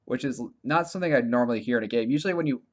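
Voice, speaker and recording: A man co-hosting a podcast, talking casually about video games in a halting way, often restarting his sentences and leaning on filler words. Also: medium pitch. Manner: halting, self-correcting, heavy use of "like". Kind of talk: casual conversational game review chat.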